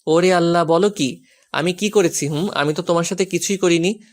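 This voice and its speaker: A man's voice: fairly high pitch, a little fast, lightly acted. A man playing a flustered, exasperated young husband who pleads and gives in, in a romantic audio story.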